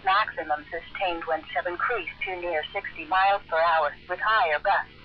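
A synthetic male voice reading an automated storm advisory formally and with measured delivery, as a weather radio announcer. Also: high-pitched. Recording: dull lo-fi radio sound.

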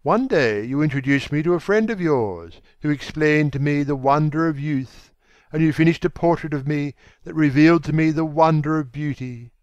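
Male reader as despairing young dandy from a classic novel; smooth, higher-set voice, theatrical and bitter, dry sound. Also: moderate pace, darkish tone, no echo, highs cut off, lightly acted. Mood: bitter, reproachful. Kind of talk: dramatised classic novel reading.

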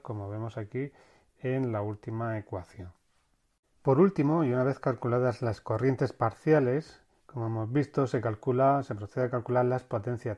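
A man's voice: mid-range voice, dark tone. A man teaching electrical circuits step by step, speaking over a screen recording with some fillers and restarts. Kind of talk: screen-recorded technical lesson.

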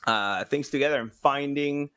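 A man's voice: medium pitch, normal speed, a bit bright, clear, resonant tone. Male podcast guest, thirties, from South America; mid-range voice, casual anecdotal delivery with acted-out quotes. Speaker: podcast guest, sound designer. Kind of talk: casual interview storytelling. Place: small home room, video call. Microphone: boom-arm microphone with headset.

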